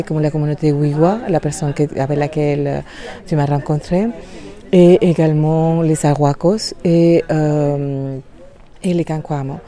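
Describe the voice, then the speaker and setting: A middle-aged woman from Colombia, speaking as an interview guest, hesitant with many pauses and fillers. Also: low-pitched, warm tone. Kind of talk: interview conversation.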